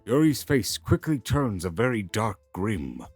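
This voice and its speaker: Lively male narrator over music. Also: on the low side, measured pace, husky, dry recording. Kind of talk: scripted dramatic story narration. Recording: music bed under the voice.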